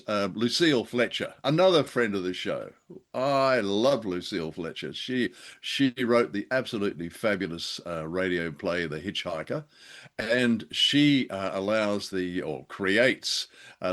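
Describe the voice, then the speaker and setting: A man's voice: fairly low pitch, a bit bright. A man co-hosting a podcast, chatting casually and enthusiastically about an old radio play with many fillers. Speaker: podcast co-host. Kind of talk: casual review chat.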